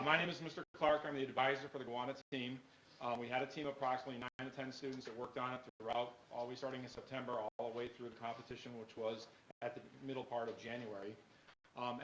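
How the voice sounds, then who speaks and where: A man with a loud voice, speaking informally as a teacher and team advisor presenting at a meeting. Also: medium pitch. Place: meeting room. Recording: echoey room with faint noise.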